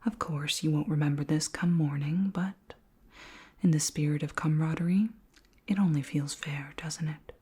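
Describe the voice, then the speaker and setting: A woman's voice: deep voice, fairly even intonation. Woman playing a whispering, curious alien queen. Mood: confiding, playful. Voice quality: soft, breathy whisper. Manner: slow, formal, deliberate questioning. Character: curious, polite, imperious female alien queen. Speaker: ASMR roleplay performer. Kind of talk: whispered ASMR roleplay.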